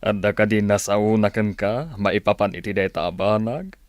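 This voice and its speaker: A man playing a calm, cautious father in an Ilocano radio drama, measured and admonishing, with an Ilocano accent. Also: lowish voice, no room sound, natural voice. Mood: cautioning. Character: calm, cautious father advising his daughter.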